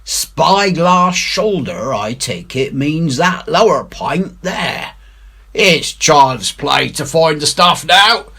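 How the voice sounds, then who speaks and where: A man playing a bold, shrewd pirate ringleader in a storybook reading, with salty, emphatic, drawn-out speech. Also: a little high, lively intonation, bright, forceful tone, husky, pitched above the performer's own voice, heavily acted. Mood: musing.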